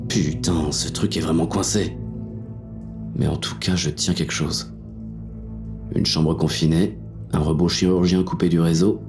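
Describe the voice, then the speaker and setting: A man's voice: sits low, close to an everyday voice. A man playing a jaded, wary police inspector who narrates a noir audio drama in a blunt, world-weary, slangy way. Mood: tense, irritated.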